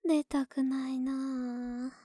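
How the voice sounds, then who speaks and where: A woman playing an affectionate, sleepy young neighbor in an intimate ASMR voice drama, speaking softly and tenderly, often in whispers, very close to the microphone. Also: sits high, level tone, dry recording. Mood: drowsy, waking. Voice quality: soft, breathy, often whispered. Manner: slow, tender, coaxing, drawn-out drowsy words. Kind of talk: Japanese ASMR situation voice drama, intimate and soothing. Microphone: very close ASMR microphone, dry sound.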